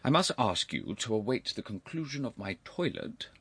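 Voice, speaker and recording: Man acting a haughty, irascible older gentleman, animated pitch. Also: normal speed, breathy edge, no echo, full character voice. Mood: acid, commanding. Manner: stern, pompous, cutting formal phrasing. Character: haughty, irascible older aristocratic uncle, sharp and severe. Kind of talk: audiobook character voice.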